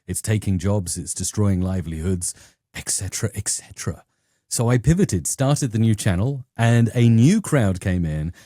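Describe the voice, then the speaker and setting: A middle-aged man with a southern English accent, telling stories conversationally as an interview guest, often acting out quotes in an animated manner. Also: sits low, no echo, clean studio sound. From England. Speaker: YouTube educator and interview guest.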